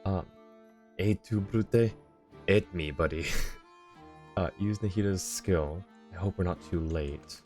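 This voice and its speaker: A man's voice: deep voice, slow, pauses often, a little mellow. A man doing casual live game commentary, reading dialogue aloud and adding wry, rambling asides. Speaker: game streamer. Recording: game music behind the voice.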